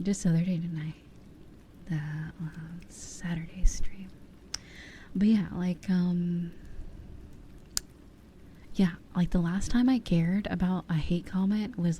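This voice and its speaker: A woman in her twenties chatting casually as an ASMR streamer in a soft, breathy voice that often drops to a whisper, rambling candidly close to the microphone. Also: fairly low pitch, slight background noise. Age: 20s. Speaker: ASMR livestream host. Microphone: close ear-to-ear ASMR microphone.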